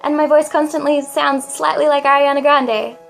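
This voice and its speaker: Teen girl vlogger, very high girlish voice, dramatic and self-mocking, faint music underneath. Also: steady tone, moderate pace, creaky. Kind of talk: confessional vlog monologue.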